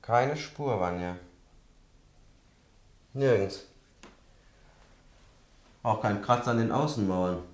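An actor playing a polite, calculating young student in a German radio drama, courteous and deliberate in speech. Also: male voice, lowish voice, animated. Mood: grave, hesitant. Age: young adult.

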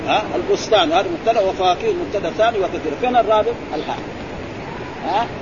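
Brisk high-voiced sheikh quizzing students. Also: male voice. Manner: quizzing, prompting with repeated "eh?" and "ha?". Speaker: sheikh teaching Arabic grammar. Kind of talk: classroom explanation with questions to students. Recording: muffled recording with crowd and traffic noise.

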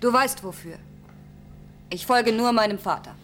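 A woman's voice: a little high, moderate pace, a little hiss or hum, close to an everyday voice. An actress playing a brave, composed woman resigned to sacrifice in a science-fiction radio play. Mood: resolved.

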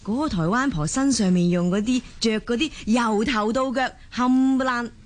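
Actress playing an expressive Cantonese working mother. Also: on the high side, moderate pace, lightly acted. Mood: proud, playful. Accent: Hong Kong Cantonese. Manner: expressive, colloquial. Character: busy working mother, chatty, easily exasperated office woman. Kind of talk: Cantonese radio drama acting.

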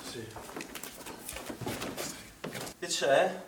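A man's voice: a little high, animated, slow. An actor playing a defensive, agitated young male swimming instructor in a stage play, arguing edgily as he is questioned. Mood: evasive. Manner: defensive, edgy, argumentative. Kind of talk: naturalistic stage play dialogue in Italian. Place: theatre stage, picked up from afar. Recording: echoey, distant, noisy theatre stage recording.

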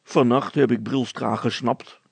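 Storybook constable, played by a male reader: stubborn, ponderous, suspicious, with a rough creaky voice. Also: mid-range voice, fairly even intonation, measured pace, no room sound, exaggerated voice. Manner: hesitant, ponderous, solemn. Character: stubborn, self-important male village constable, solemnly suspicious.

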